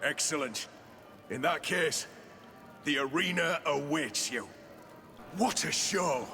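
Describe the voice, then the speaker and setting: An actor playing a showy, formal arena master in a theatrical fantasy game style. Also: male voice, fairly high pitch, expressive, slow, bright, forceful tone, faint music behind, heavily acted. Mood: impressed. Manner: flamboyant, formal, showman-like. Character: showy male arena master announcing fights to the crowd. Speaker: arena announcer. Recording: long echoing reverb.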